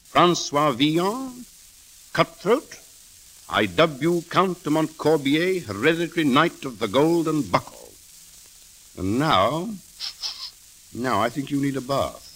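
An actor playing a sly, imperious old king in a 1930s radio drama, speaking theatrically with drawn-out mockery on a hissy, crackly recording. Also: a little high, big pitch swings, fairly bright tone, heavily acted. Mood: wry, regal. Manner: theatrical, deliberate, drawn-out and mocking.

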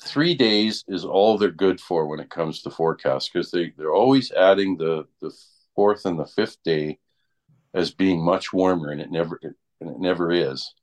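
A man in casual conversation, explaining things haltingly and repeating words as he goes. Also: low voice. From Canada. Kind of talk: casual group chat about weather.

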